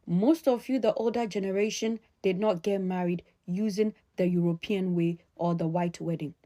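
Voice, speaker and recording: A woman speaking straight to her audience in an opinion vlog, emphatic and admonishing, repeating key phrases deliberately. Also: mid-range voice, warm tone. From Ghana. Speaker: sociologist addressing followers.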